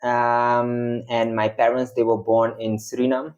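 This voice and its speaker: A man's voice: mid-range voice, flat delivery, measured pace, darkish tone, dull audio. A young man from the Netherlands, a guest in casual chat, explaining at length with many hesitations.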